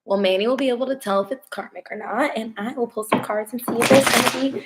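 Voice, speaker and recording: Hoarse young woman reading tarot live. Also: on the high side, quick pace, a little hiss or hum. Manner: chatty, laughs often, swears casually. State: hoarse, losing her voice. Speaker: tarot reader hosting a live stream. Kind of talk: casual live-stream chat and tarot reading.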